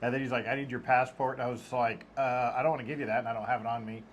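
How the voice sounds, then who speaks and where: A man's voice: mid-range voice, fairly even intonation, rapid-fire, lo-fi sound, slight background noise. A man co-hosting a casual travel vlog, joking and acting out quoted exchanges as he tells a story. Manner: joking, acts out quoted dialogue. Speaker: vlog co-host.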